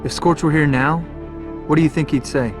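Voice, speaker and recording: An actor playing a determined young fighter pilot hero in a video game, earnest and resolute, recorded dry over music. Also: male voice, a little high, steady tone, fairly quick, lightly acted. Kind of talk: video game cutscene acting and dramatic monologue.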